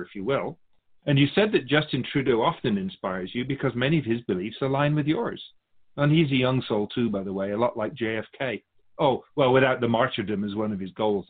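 A man teaches in a conversational, spiritual-guide style. His delivery is measured and explanatory, with lively, wide-moving intonation. The voice sits at a middle pitch. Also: breathy edge, phone-quality sound. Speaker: spiritual guide speaking as the Keeper.

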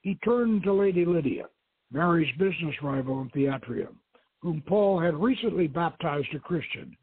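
Phone-line man reading a novel, high voice. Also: animated, normal speed, breathy edge, dry recording. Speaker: host reading a novel aloud. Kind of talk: dramatic audiobook-style reading. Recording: thin, phone-like radio line.

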